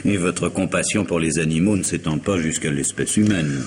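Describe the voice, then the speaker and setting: Man playing a low-voiced, formal, indignant professional. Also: normal speed, close to an everyday voice. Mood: wary, curious. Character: busy, formal professional man, indignant yet warily curious. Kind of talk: French radio mystery drama acting. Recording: noisy old radio drama recording.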